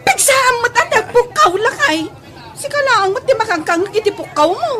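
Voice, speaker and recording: A woman's voice: very high-pitched, big pitch swings, normal speed. A woman playing a scolding market fish-vendor wife in an Ilocano comedy radio drama, with an Ilocano accent. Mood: loud, bossy. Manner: scolding, loud vendor calls. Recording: noisy mix with faint crowd behind.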